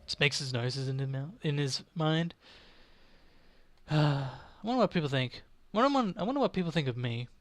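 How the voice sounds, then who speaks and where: A man's voice: fairly high pitch, animated, moderate pace, no room sound. A man giving casual commentary as he plays a video game. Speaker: gamer, video host.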